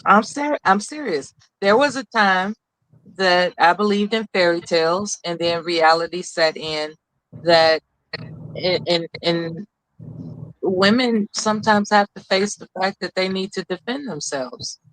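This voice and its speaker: A woman's voice: fairly low pitch, unhurried, a bit bright, no room sound, low-quality recording. Commanding woman on a discussion panel. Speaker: call-in panelist.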